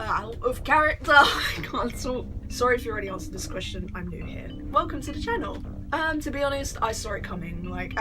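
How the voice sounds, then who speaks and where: Tired young woman, rambling vlog chat. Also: mid-range voice. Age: young adult. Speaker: YouTuber answering fan questions. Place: bedroom.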